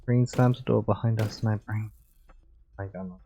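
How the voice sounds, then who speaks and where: A man livestreaming a game and reading visual novel narration aloud in a relaxed, chilled manner. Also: lowish voice, moderate pace, a little hiss or hum. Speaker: game streamer.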